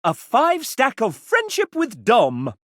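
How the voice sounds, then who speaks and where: Sassy guide, wildly swooping pitch. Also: male voice, wildly swooping pitch, slow, bright, forceful tone, dry recording, studio quality, full character voice. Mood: gleeful. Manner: sassy, arch, theatrical. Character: sassy, theatrical, chatty male guide to dates. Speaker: narrator and guide. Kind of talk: scripted video game narration.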